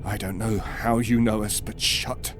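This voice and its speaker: A man playing a troubled Victorian surgeon in an audio horror drama, tense, halting and rattled. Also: on the low side, flat delivery, over music, natural voice. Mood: disturbed, desperate. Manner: tense, halting, dropping to whispers. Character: shaken, rational Victorian surgeon, troubled and angry.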